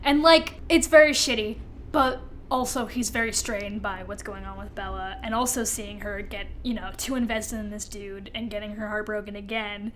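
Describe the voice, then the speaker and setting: A woman's voice: medium pitch, fairly even intonation, moderate pace, a bit bright, a little hiss or hum. A woman co-hosting a podcast in casual chat, informal and sweary, voicing imagined quotes as she goes. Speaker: podcast co-host.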